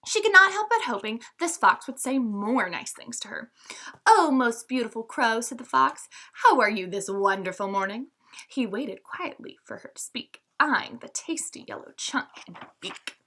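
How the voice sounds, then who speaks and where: A woman reading a children's story aloud as a teacher, with expressive, instructive storytelling. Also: high-pitched, moderate pace.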